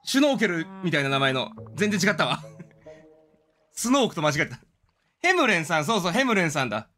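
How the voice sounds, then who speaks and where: A man's voice: fairly high pitch, very animated, a little fast, bright, forceful tone. A man casually commentating on a video game, reacting aloud and talking to the game as he plays. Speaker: streamer playing a video game. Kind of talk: casual game commentary with dramatic line readings.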